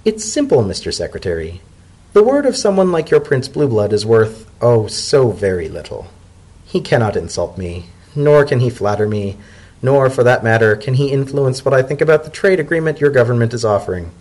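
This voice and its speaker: A man voicing an elderly female zebra scholar-diplomat in a storybook reading, genteel, shrewd and indulgent, with measured, formal, gently wry speech. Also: medium pitch, very animated, rough recording. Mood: cool, dismissive.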